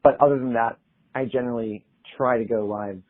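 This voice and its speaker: A man's voice: mid-range voice, fairly even intonation, fairly quick. A young man from Pennsylvania, a singer-songwriter guest, chatting in an easygoing way and laughing often. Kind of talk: casual interview chat. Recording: tinny phone-like line.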